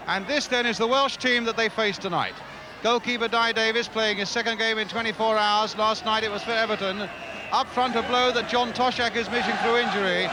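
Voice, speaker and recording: A man commentating on a football match in a measured, clipped broadcast manner, his voice a little strained. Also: high-pitched. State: voice strained from travel. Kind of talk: match commentary with off-air asides. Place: football stadium. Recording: noisy 1970s stadium broadcast with loud crowd.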